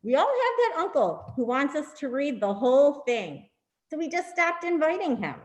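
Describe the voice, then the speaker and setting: A woman with a high, very lively voice reads a comic script in an echoey room. She plays a boastful, popular holiday with playful showing off. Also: normal speed. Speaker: performer in a congregation's reader's theater. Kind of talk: amateur comic scripted monologue.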